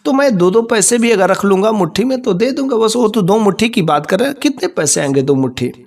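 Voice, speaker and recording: Man doing a greedy cowardly village trader, high voice, wheedling, repeats himself, folk story. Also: expressive, fast, gravelly, a little mellow, full character voice. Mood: calculating. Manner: wheedling, repetitive pleading. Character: greedy male village merchant, scheming, cowardly when threatened.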